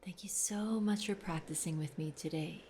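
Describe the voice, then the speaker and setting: A woman leading a guided meditation in a soft, soothing, breathy voice with gentle pauses. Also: lowish voice, a little hiss or hum. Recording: voice over clear wave sounds. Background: ocean waves.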